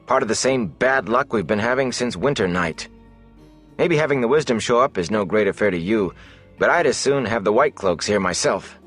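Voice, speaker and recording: A man's voice: lowish voice, animated, normal speed, a bit bright, faint music behind, voice pushed up. Casting: male narrator as sulky young villager in a fantasy storybook, suspicious, grumbling. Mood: grumpy.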